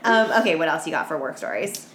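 A woman's voice: low voice, big pitch swings, fast talker, fairly bright tone. A young woman co-hosting a podcast in casual, sweary banter, blunt and irreverent as she jokes through anecdotes. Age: 20s. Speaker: podcast co-host. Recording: roomy echo, faint background noise.

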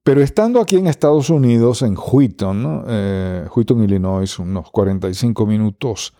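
A Dominican man and radio broadcaster with a mostly neutral accent, answering interview questions in an unhurried way with many pauses and fillers. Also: on the low side, expressive, dark tone, no room sound, studio quality. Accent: Dominican Spanish, mostly neutralized. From Dominican Republic.

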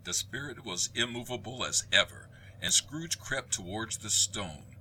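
A man narrating a scripted dramatic story in a measured, theatrical manner. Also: lowish voice, expressive, pushed and bright, a little hiss or hum, rough recording.

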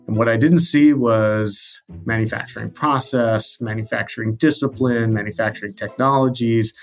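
A man from Baltimore answers interview questions thoughtfully, sometimes restarting mid-sentence. His voice is mid-pitched with a gravelly edge. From Baltimore, Maryland. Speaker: guest.

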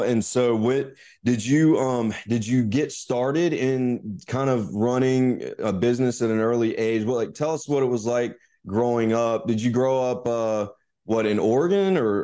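A man's voice: mid-range voice, moderate pace, dark tone. A man hosting a business podcast, opening with a scripted upbeat intro and then chatting casually with a guest, with fillers. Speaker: podcast host. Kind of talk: casual interview chat.

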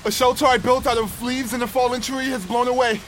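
A man playing a proud, bossy castaway in an audio drama, speaking haltingly in a haughty, grudging way to his companions. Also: very high-pitched, flat delivery, slight background noise, rough recording. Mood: agitated. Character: proud stranded male castaway, bossy, grudging, talking to creatures. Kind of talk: dramatic audio fiction monologue, survival log.

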